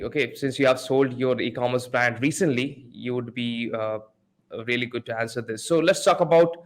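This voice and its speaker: A man's voice: mid-range voice, a bit bright. A man hosting a podcast, a non-native English speaker, introducing guests in an eager way with frequent repeats. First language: not English. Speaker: podcast host.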